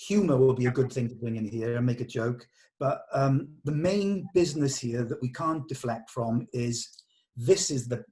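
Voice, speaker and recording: A man's voice: medium pitch, darkish tone. Man thinking aloud in a script discussion. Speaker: script discussion leader at a table read. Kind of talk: informal creative discussion, suggesting dialogue.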